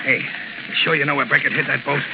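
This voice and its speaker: A man's voice: mid-range voice, steady tone, quick pace, lightly acted. A man playing a jittery, tough-talking crook in a 1930s radio drama, with clipped gangster slang. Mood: urgent, impatient. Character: jittery, tough-talking male escaped crook, gun-ready henchman. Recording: noisy, muffled 1930s radio broadcast.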